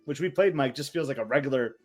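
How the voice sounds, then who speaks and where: A male podcast co-host talks in a chatty, enthusiastic way, piling on stories and asides. His voice sits at an ordinary middle pitch for a man. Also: expressive, fast talker, a little mellow. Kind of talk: casual chat.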